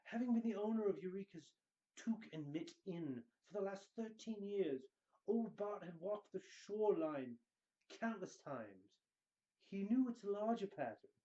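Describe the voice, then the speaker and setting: A man reading book passages dramatically, with theatrical emphasis and deliberate pauses. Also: high-pitched, expressive, mellow, highs cut off. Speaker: reader of book excerpts.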